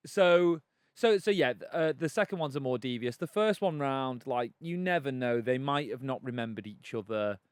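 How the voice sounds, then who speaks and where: A man's voice: mid-range voice, expressive, moderate pace, hardly any pauses, clean tone, dry recording, studio quality. A man co-hosting an advice podcast, chatty and emphatic, getting indignant. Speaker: podcast co-host. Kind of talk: casual advice chat.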